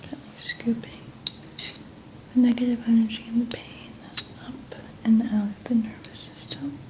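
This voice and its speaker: A woman whispering in a slow, soothing manner in an ASMR roleplay as a healing practitioner. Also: a little high, flat delivery, dark tone, rough recording.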